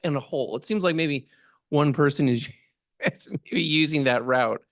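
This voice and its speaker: A man's voice: fairly high pitch, unhurried, dry recording, studio quality. A man at a public meeting asking questions in a hesitant way, with plenty of fillers. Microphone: Zoom meeting audio.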